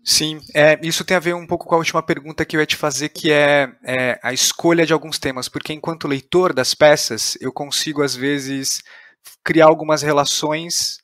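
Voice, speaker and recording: A Brazilian man with a fairly high voice interviews in a conversational tone. He builds his questions tentatively and in a roundabout way, recorded very dry with no room sound. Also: steady tone, normal speed, fairly bright tone, dry recording. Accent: Brazilian Portuguese. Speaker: podcast host, interviewer.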